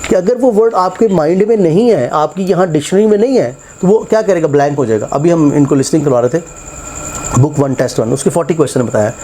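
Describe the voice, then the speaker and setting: Fast-talking animated tutor, man. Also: fairly high pitch, a little hiss or hum. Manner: animated, mixes English phrases into speech, rhetorical questions. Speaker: English test tutor and institute head. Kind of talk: casual classroom interview and explanation.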